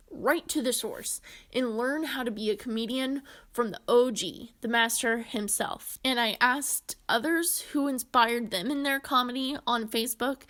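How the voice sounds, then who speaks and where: High-voiced young woman narrating a video essay. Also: fairly bright tone. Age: 20s. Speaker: presenter.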